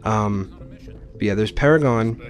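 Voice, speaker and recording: A man's voice: on the low side, unhurried. A man giving informal, explanatory commentary as he hosts a video game playthrough. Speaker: Let's Play host. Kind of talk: casual gameplay commentary.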